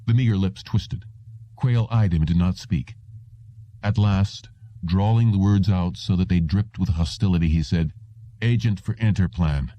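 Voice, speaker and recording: A man's voice: low voice, normal speed, mellow, no echo. A man narrating an audiobook in a scripted storytelling style, with dull, muffled audio.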